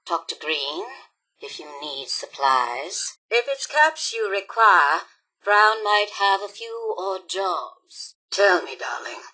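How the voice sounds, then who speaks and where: A woman's voice: mid-range voice, animated, slow, bright, forceful tone, husky, heavily acted, poor audio. A woman voicing a glamorous, haughty female robot supervisor in a video game, with a grand, affected theatrical drawl. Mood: coy, condescending. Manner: grand, affected diva drawl, calls everyone darling.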